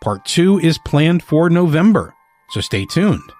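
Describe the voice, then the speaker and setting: A man hosting a podcast, reading a scripted introduction in an earnest, measured way. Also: fairly high pitch, big pitch swings, a little mellow, soft background music. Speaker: podcast host.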